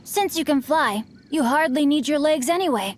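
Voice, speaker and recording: A woman's voice: high-pitched, expressive, normal speed, slight background noise. A woman voicing a refined, bookish, slyly teasing noble teenage boy in a video game, with ornate, courtly phrasing. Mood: playfully gracious. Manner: ornate, courtly, archaic wording. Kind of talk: English video game voice acting.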